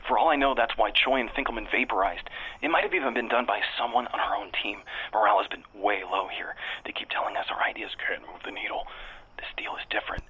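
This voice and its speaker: An actor playing a jaded, angry tech employee phoning a radio drama's show with anonymous tips, speaking low and conspiratorially through a telephone filter. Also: male voice, sharp tone. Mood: urgent, grave. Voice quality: husky, breathy. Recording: thin phone line.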